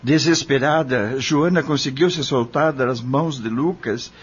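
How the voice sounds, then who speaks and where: A man plays a troubled Brazilian witness narrating a radio drama. His husky voice is grave and deliberate. The recording is slightly muffled. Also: mid-range voice. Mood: grave, shaken. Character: troubled imprisoned male witness recounting a crime. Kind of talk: scripted first-person drama narration. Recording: dull lo-fi audio.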